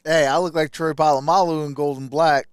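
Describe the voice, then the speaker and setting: Male sports stream host, smooth high voice, colloquial fan talk, close dry sound. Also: fairly even intonation, a little fast, no echo. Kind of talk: casual live sports commentary.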